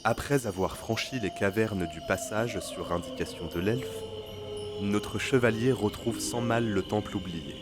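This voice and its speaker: Male storyteller, low voice, scripted fantasy audio drama narration, loud music underneath. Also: measured pace.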